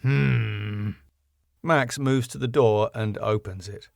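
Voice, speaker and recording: A man reading the stage directions of his own sitcom script in a brisk, matter-of-fact way. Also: mid-range voice, dry recording, clean studio sound.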